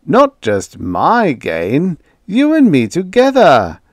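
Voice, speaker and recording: A man's voice: on the high side, huge pitch swings, slow pace, dry recording, clean studio sound, pitched above the performer's own voice, full character voice. A man doing a pushy, greedy schemer. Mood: greedy pushing. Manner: pushy, wheedling. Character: greedy desperate young male schemer.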